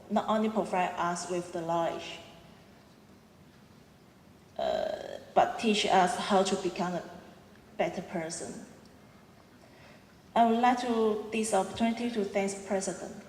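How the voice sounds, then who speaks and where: A woman's voice: mid-range voice, darkish tone, a little hiss or hum. A young woman in her twenties with a Cantonese accent, giving an earnest prepared speech as a student at a ceremony, with small restarts. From Hong Kong. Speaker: student speaker. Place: large auditorium. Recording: echoey hall, roomy reverb.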